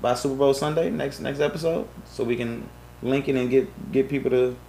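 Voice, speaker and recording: A man co-hosting a relaxed podcast. He talks up the season's guests and pages in a loose, halting way, full of fillers and restarts. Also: medium pitch, vocal fry, a crowd in the background, low-quality recording. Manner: hyped promo talk with many fillers and restarts. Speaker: podcast co-host. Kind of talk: casual podcast chat.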